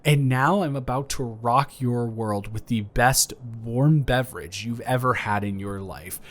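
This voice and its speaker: A man's voice: mid-range voice, a little slow, natural voice. A man improvising a romantic audio roleplay as a flirty, playfully boastful neighbor, talking straight to the listener in a teasing, stammering, rambling way.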